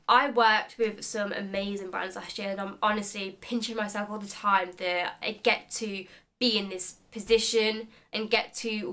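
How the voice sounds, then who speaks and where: Woman, 20s, vlogger, casual chat, upbeat, filler-heavy, mid pitch. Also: pushed and bright. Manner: chatty and upbeat, many "like" fillers. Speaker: beauty vlogger. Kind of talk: casual chatty vlog.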